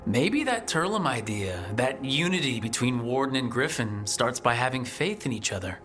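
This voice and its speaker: An actor playing a steady, supportive adventurer and team leader in a video game, calm and wry. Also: male voice, mid-range voice, normal speed, music in the background, lightly acted. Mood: reassuring.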